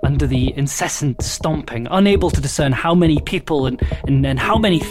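An actor playing an exhausted, anxious restaurant worker in an audio drama monologue, with a hesitant, halting delivery that repeats words. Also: medium pitch, lively intonation, natural voice. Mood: uneasy. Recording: music behind the voice.

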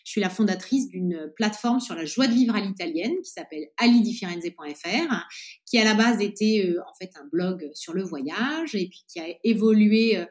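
A woman in her late thirties from France talks in a relaxed interview. Her voice sits at a middle pitch, and she pauses often to search for words. She restarts her sentences as the thoughts come to her. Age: 30s. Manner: hesitant, many fillers and restarts. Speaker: podcast guest, entrepreneur. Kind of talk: casual interview chat.

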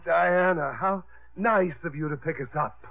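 An actor playing a suave, confident suitor, breezy, teasing and assured, in a 1950s radio drama. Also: male voice, fairly high pitch, unhurried, vocal fry, noisy background. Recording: narrow, tinny 1950s radio broadcast.

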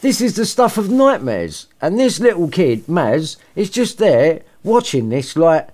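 A man's voice: a little high, very animated, a little slow, darkish tone. A man narrating a storybook comically, with irreverent, sarcastic, sweary asides and a theatrical manner. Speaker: story reader and podcast host.

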